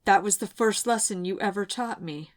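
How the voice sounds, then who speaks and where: A woman's voice: mid-range voice, moderate pace, lightly acted. A woman voicing a young female knight who cries out desperately over her dying mentor. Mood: grieving.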